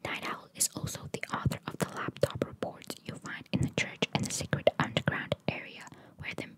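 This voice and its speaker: A woman whispering in a breathy voice, reading trivia for ASMR and adding chatty, informal asides. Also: fairly quick, no room sound, low-quality recording. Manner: informal, chatty, reacting to what is read. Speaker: ASMR host reading video game trivia.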